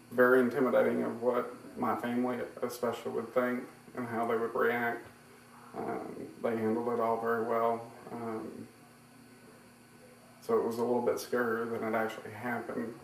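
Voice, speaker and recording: A man's voice: medium pitch, creaky. A man in his thirties giving candid, matter-of-fact interview answers. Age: 30s. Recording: roomy, distant mic, faint noise.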